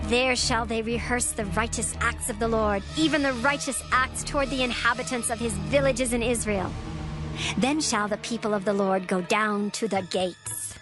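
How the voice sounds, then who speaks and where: Woman acting a high-voiced proclaiming prophetess. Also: fairly even intonation, moderate pace, music in the background, close to an everyday voice. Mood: exhorting. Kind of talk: dramatized scripture recitation.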